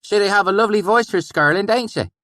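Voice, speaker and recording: Young man in put-on backwoods dialect, male narrator, high and hearty, audiobook. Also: fairly quick, sharp tone, dry recording, clean studio sound. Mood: folksy. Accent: put-on rural backwoods dialect. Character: young man faking a thick rural dialect.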